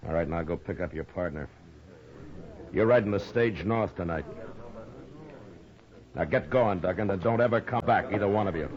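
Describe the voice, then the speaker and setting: An American actor playing a stern, laconic frontier marshal in a 1950s radio western, with a terse, deadpan, authoritative manner. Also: male voice, sits low, moderate pace, long pauses, natural voice. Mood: commanding. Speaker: lead actor and narrator of a western radio drama. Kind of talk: 1950s radio drama acting. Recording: muffled 1950s radio recording, faint music.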